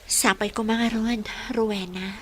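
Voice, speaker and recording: A woman's voice: a little high, fairly even intonation, a little slow, a little hiss or hum, lightly acted, poor audio. An actress playing a troubled, defiant young village woman in an emotive Ilocano radio drama. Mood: worried, brooding. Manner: emotive, melodramatic delivery.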